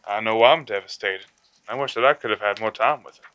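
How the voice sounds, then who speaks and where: A man's voice: fairly low pitch, brisk, sharp tone. A man playing a sinister, gloating killer who phones a radio show, taunting and theatrical, in a radio drama. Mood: taunting, mock grief. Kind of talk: radio drama villain acting.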